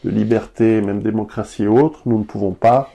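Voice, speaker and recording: Guy musing as a guest, deep-ish and gravelly. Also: steady tone, moderate pace, dark tone. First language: Italian. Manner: reflective, thinking aloud. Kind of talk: conversational interview.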